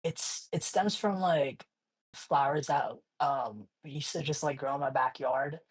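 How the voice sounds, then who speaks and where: A man's voice: on the high side, a little slow, slightly rough, poor audio. A young man from Hawaii, a guest artist in a casual interview, talking in a laid-back, slangy way full of "like" and "you know".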